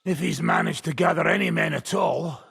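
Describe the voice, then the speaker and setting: A man playing a gruff medieval warrior in a game, with a very raspy, gravelly voice. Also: on the high side, normal speed, a bit bright, full character voice. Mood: gruff, doubtful. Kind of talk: dramatic game cutscene acting.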